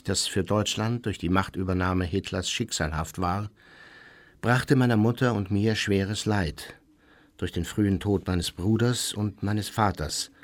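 A man acts a proud, self-pitying memoirist in a radio drama. He narrates his own youth in a low, formal, measured voice. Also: natural voice. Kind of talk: scripted first-person memoir narration in radio play.

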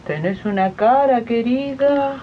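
A woman's voice: fairly high pitch, a little slow, a little hiss or hum, close to an everyday voice. A woman playing a haughty, suspicious lady of the house in a 1980s community radio drama, condescending and nagging, with an Argentine accent. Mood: disapproving. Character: haughty, suspicious female employer of a maid. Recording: muffled 1980s archive radio recording.

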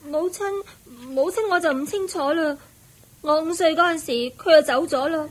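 An innocent teenage servant girl in a radio drama. The voice is high and meek, with a Hong Kong Cantonese accent and a simple, obedient manner. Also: moderate pace. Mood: innocent, hesitant.

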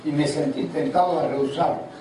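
A man's voice: a little high, fairly even intonation, moderate pace. An older man preaching at a church seminar, asking the congregation questions as he goes. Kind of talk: seminar sermon. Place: large hall at a church seminar. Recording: echoey hall from far off, audible noise.

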